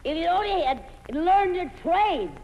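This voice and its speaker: A woman's voice: extremely high pitch, lively intonation, unhurried, lo-fi sound, exaggerated voice. An actress playing a brash, dim older married woman, wisecracking in a 1940s radio comedy. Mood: deadpan wisecracking. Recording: old noisy radio recording.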